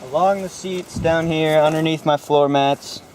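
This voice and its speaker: A man's voice: a little high, fairly even intonation, unhurried, darkish tone, a little hiss or hum. A young American man giving a casual hands-on how-to in an informal, offhand, loosely phrased way. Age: young adult. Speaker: host of a how-to car video. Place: outdoors in a driveway and inside a parked car.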